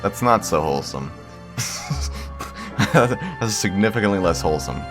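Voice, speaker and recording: A man's voice: fairly low pitch, sing-song, measured pace, over music. A man giving casual gameplay commentary in a blunt, slangy, dry way. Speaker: streamer.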